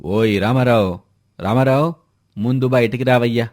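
A man's voice: mid-range voice, normal speed, darkish tone, close to an everyday voice. A man voicing a blunt village moneylender who scolds and demands repayment. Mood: scolding.

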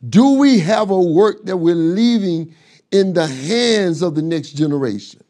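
A man's voice: high voice, expressive, a little slow, dark tone. A middle-aged man preaching a sermon from a desk in a study, building his points through rhetorical questions and repetition on a lapel mic. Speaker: pastor. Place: pastor's office with bookshelves, seated at desk.

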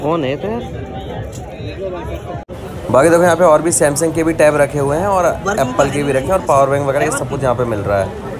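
A young man with a slightly high voice talks casually to his phone camera while he browses a street market. He keeps up a chatty running commentary on items and prices, with a crowd murmuring behind him. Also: normal speed, long pauses. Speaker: vlogger. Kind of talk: walking market tour vlog. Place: busy outdoor street market. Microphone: handheld phone camera.

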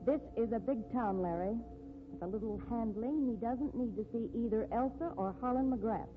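A woman's voice: a little high, moderate pace, music in the background, natural voice. An actress playing a determined, devoted woman writer, insistent and emphatic in 1950s radio style. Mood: devoted, defiant. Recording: tinny, noisy 1950s radio recording.

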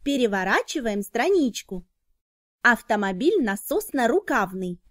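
High-voiced woman narrating for children. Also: sing-song, a little slow, no room sound, studio quality. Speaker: narrator of children's educational cartoons. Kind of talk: scripted educational narration for children.

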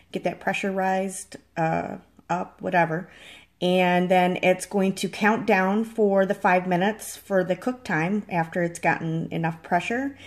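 A woman chatting casually as she demonstrates cooking, rambling and correcting herself, filmed on a phone in her home kitchen. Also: medium pitch. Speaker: farm vlogger. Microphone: phone held in hand.

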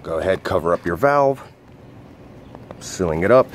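Male gear reviewer, low register, informal hesitant how-to delivery, faint ambient noise behind. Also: animated, normal speed. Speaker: product reviewer and outdoor coach. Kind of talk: casual product demonstration and review.

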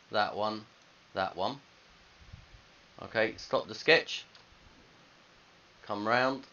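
A man's voice: low voice, bright, forceful tone. A man in his thirties talking casually through a step-by-step screencast tutorial as a teacher, thinking aloud into a headset microphone. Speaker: design teacher. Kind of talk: screencast software tutorial, informal step-by-step narration. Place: indoors at a computer. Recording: dull lo-fi headset audio, faint hiss.

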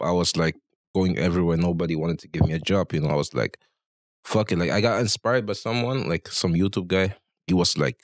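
Deep-voiced young man chatting casually. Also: dry recording, studio quality. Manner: slangy, peppers talk with "bro" and "you know". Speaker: interview guest. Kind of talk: casual chat, storytelling. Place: small barbershop, indoors. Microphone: handheld microphone.

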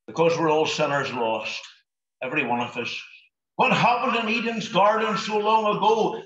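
A man preaching an impassioned gospel sermon, pleading with his listeners and breaking into shouts, at a drive-in meeting. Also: high-pitched. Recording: echoey, dull lo-fi recording.

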